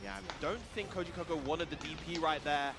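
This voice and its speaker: A man's voice: fairly high pitch, brisk. A man giving analytical live commentary on a fighting game tournament as a co-commentator. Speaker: esports co-commentator. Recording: music behind the voice.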